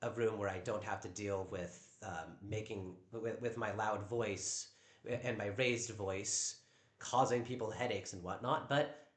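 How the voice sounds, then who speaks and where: A man with a naturally loud voice talking on a live stream at home, defensive and stammering over repeated words. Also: lowish voice, fairly even intonation, measured pace. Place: at home in a downstairs room. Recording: echoey room.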